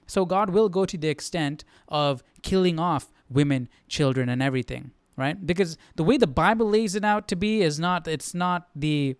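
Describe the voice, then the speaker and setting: Clear-voiced man casually hosting a livestream study. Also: on the high side, moderate pace, hardly any pauses, no echo, clean studio sound. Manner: informal, thinking aloud, often checking with "right?". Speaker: host of a live Bible study stream. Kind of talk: casual live commentary on scripture.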